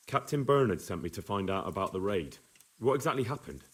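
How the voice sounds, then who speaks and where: A young medieval soldier from a video game. His voice is lowish and husky, and he talks plainly and earnestly with a stubborn edge. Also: lively intonation, fairly quick.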